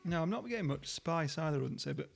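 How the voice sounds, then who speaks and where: A man's voice: on the high side, lively intonation, quick pace, gravelly, faint music behind. A young man, co-hosting a casual tasting chat, with a dry, blunt manner and the odd swear. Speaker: podcast co-host.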